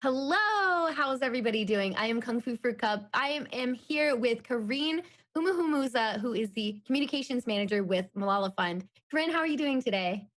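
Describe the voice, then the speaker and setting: A woman's voice: fairly high pitch, lively intonation, a little fast, few pauses, fairly bright tone. A woman conducting a casual interview in an upbeat, enthusiastic, conversational way.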